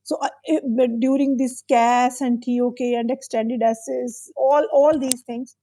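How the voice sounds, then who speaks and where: Middle-aged woman host, non-native English, fairly high gravelly voice, thoughtful and halting. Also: fairly even intonation, mellow, slight background noise. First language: not English. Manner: thoughtful, hesitant with many fillers. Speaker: podcast host. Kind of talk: conversational interview.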